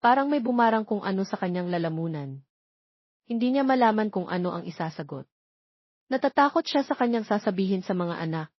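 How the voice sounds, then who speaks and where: A woman's voice: medium pitch, expressive, a little fast, no room sound, lo-fi sound. A woman reading scripted story narration as the narrator of an audio romance.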